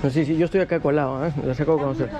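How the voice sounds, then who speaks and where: A man's voice: a little high, highs cut off. Curious young Peruvian man. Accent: Peruvian Spanish. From Peru. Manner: curious, asks many questions, laughs easily. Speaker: travel vlogger. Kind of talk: casual street chat.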